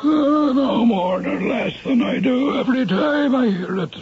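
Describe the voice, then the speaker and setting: Very high-pitched Irish innkeeper. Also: male voice, lively intonation, a little slow, creaky, loud music behind the voice, heavily acted. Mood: cagey, polite. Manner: evasive, homely. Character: evasive, folksy Irish country innkeeper. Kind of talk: old-time radio drama acting. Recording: dull vintage radio recording.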